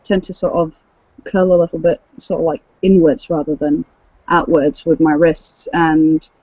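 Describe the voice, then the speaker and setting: A woman's voice: sits low, fairly even intonation, a little slow, mellow, breathy edge, no room sound. A woman co-hosting a casual podcast chat, explaining practical tips. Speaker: cosplayer and podcast co-host. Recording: dull lo-fi dry audio.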